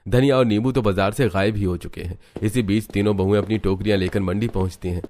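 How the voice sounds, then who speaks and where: Low-voiced man narrating a story. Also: fairly even intonation, fast talker, a little mellow, a little hiss or hum. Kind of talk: scripted cartoon story narration in present tense.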